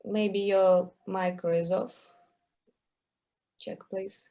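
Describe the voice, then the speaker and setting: Online event moderator, woman, slow and mellow, polite short prompts over a call. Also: mid-range voice, steady tone. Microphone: video call.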